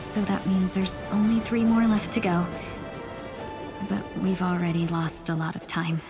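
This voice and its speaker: A woman voicing a gracious, courteous fox merchant in a video game, with a polite, formal, deliberate manner. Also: fairly low pitch, little pitch movement, music in the background, natural voice.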